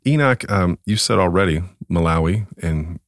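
A man's voice: deep voice, lively intonation, moderate pace, dry recording, studio quality. A man hosting a podcast, interviewing a guest in a conversational style.

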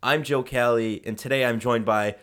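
An American man with a slightly hoarse voice, hosting a casual sports podcast as an enthusiastic, emphatic fan. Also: mid-range voice, fairly even intonation, moderate pace, a bit bright.

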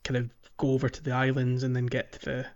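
A man co-hosting a casual gaming podcast, his voice sitting at an ordinary middle pitch. He rambles and restarts, leaning on ums and likes as he recalls things. The recording sounds muffled and lo-fi. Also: monotone, quick pace. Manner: rambling, hesitant, many fillers and restarts. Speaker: podcast co-host.

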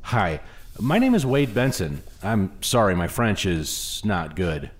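Awkward eager historian, low voice but lively, hesitant, polite, audio drama. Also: male voice, slow pace, heavily acted. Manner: hesitant, apologetic.

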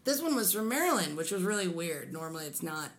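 A young woman from Pennsylvania with a low voice, co-hosting a casual chat and telling stories with frequent laughter. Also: very animated, fast. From Pennsylvania, USA. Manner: chatty storyteller, laughs often. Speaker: podcast co-host.

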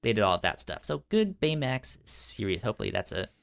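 A man co-hosting a podcast in casual chat, halting and often repeating the first sounds of words. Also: on the low side, sing-song, gravelly. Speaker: podcast co-host.

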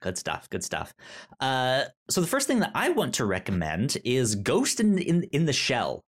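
A man's voice: mid-range voice, huge pitch swings, unhurried. A man hosting a podcast over a remote call, talking casually and often stammering and restarting his words. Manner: stammering, many restarts and ums. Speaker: podcast host. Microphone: remote call mic.

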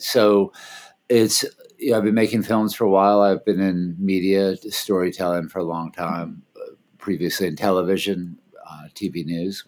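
A man in his fifties from Washington, D.C., a filmmaker, talking casually and hesitantly in a video call interview. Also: low-pitched. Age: 50s. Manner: relaxed, hesitant, many fillers. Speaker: filmmaker and festival founder, guest.

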